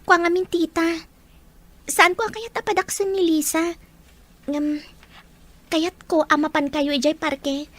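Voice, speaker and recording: A woman's voice: very high voice, steady tone, measured pace, pauses often. A woman playing a concerned, caring young female student in an audio drama, with an Ilocano Filipino accent. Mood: worried.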